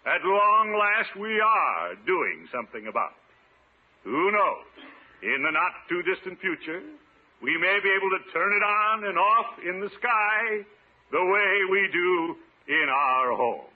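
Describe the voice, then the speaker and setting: Pompous, swooping small-town official. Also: male voice, high voice, sing-song, a little slow, exaggerated voice. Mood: pompous oratory. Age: middle-aged. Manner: pompous, chuckling, theatrical. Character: pompous, self-satisfied middle-aged small-town water commissioner. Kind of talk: 1950s radio situation comedy acting. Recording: noisy, narrow-band 1950s radio recording.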